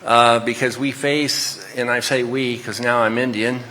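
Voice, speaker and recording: A man's voice: mid-range voice, fairly even intonation, unhurried, bright, forceful tone, poor audio. A man with a Texan accent speaking as a panelist, casual and hesitant with frequent fillers. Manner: hesitant, conversational. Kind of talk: panel discussion.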